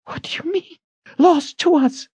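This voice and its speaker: A man's voice: very high voice, measured pace, dark tone, no room sound, voice pushed up. A man voicing a frantic young woman who pleads urgently and breathlessly in a dramatic audiobook reading. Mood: desperate.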